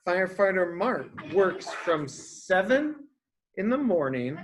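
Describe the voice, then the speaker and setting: A man's voice: sits high, sing-song, slow, noisy background. A man reading a picture book aloud to young children as a teacher, explaining things simply and asking them questions.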